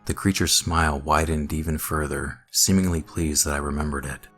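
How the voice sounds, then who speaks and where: A man narrating a scripted first-person horror story in a measured, dramatic way. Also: very deep voice, fairly even intonation, a bit bright. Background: music.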